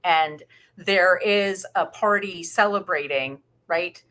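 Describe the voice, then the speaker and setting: A woman's voice: lowish voice, slow pace, fairly bright tone. A woman co-hosting a podcast, talking casually and conversationally about films. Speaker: podcast co-host. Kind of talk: casual film discussion chat.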